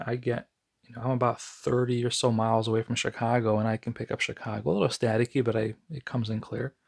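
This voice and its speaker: An American man giving a casual, unscripted product review in a conversational, somewhat halting way, with a gravelly, husky voice. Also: medium pitch.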